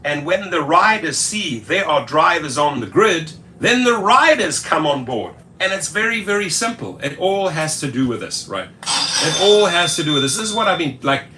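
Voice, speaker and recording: Bright-voiced sarcastic rideshare commentator. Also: male voice, on the high side, slight background noise. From USA. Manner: animated, sarcastic, repetitive. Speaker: rideshare channel host. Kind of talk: casual commentary on screen text.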